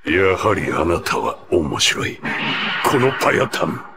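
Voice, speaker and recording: A man playing a devoted creature henchman in an anime, with a gravelly voice and an archaic, grandiose manner. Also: deep voice, very animated, background noise, heavily acted. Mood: awed, grandiose. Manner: archaic, grandiose, drawn-out delivery. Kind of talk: dramatic anime acting.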